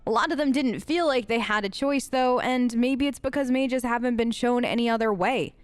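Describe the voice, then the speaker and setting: A woman let's play host speaking in character in a casual, earnest way. Her voice is fairly high, smooth and clear, recorded very dry. The phrasing is informal and conversational. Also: normal speed, dry recording. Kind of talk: casual improvised in-character gameplay talk.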